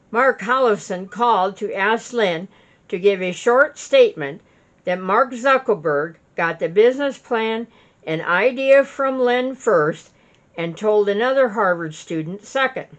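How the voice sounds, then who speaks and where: Woman, very slow delivery, witness statement read aloud, dull lo-fi sound. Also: mid-range voice. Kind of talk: reading a written statement aloud. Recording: dull lo-fi recording.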